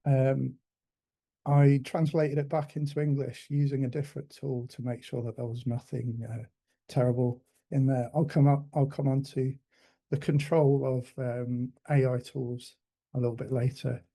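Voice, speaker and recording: A man speaking at a tech conference, his voice very dark and mellow. He walks through a demo in a measured way, filling pauses with ums and restarting his phrases. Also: medium pitch, level tone, vocal fry. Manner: hesitant, many ums and restarts.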